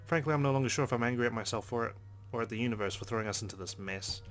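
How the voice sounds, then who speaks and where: A man's voice: mid-range voice, rapid-fire. A man reading visual novel narration aloud dramatically as a let's play host, with brief casual asides. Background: game background music.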